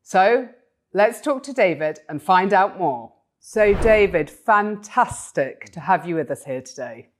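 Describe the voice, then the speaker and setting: Woman, interview host, low voice, enthusiastic, encouraging. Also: lively intonation, a little slow, a little hiss or hum. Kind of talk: interview hosting.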